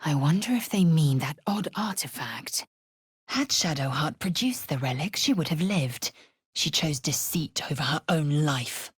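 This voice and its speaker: A woman's voice: sits low, expressive, a little slow, breathy edge, no room sound, clean studio sound, full character voice. An actress playing a fierce, proud alien warrior woman in a video game, speaking in a clipped, imperious, scornful way. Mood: brooding, appraising. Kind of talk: video game character voice lines.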